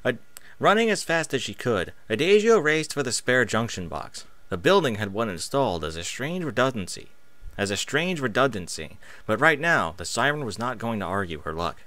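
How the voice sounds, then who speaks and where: A man's voice: medium pitch, big pitch swings, moderate pace, pushed and bright, no echo. A man narrating an action story as a scripted, dramatic reading with emphatic phrasing. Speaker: narrator reading fan fiction aloud.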